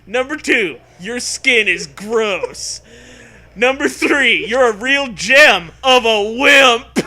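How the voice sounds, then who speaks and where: A man voicing a mocking talking dog who announces insults loudly in a comedic story reading. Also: extremely high pitch, lively intonation, takes it slowly, pitched above the performer's own voice, exaggerated voice. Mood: gleefully insulting. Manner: loud, declaring, mocking.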